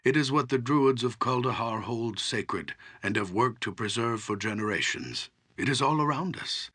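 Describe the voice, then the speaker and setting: An actor playing a wise, grave old archdruid in a fantasy game, speaking in a measured, solemn, husky voice. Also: male voice, medium pitch, lively intonation, bright, forceful tone. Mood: reverent. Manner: measured, solemn, formal storyteller delivery. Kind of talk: scripted fantasy game character dialogue.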